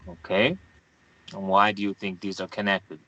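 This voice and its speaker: A man's voice: low-pitched, level tone, moderate pace, pushed and bright, lo-fi sound. A man playing a curt, grave Guatemalan newspaperman in his thirties at a screenplay table read. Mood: probing.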